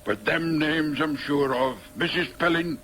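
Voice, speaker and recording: A man's voice: on the high side, a little slow, fairly bright tone, a little hiss or hum. An actor in a radio drama playing an older working-class Yorkshireman, chatty and matter-of-fact as he reminisces in broad colloquial speech. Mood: thoughtful recalling.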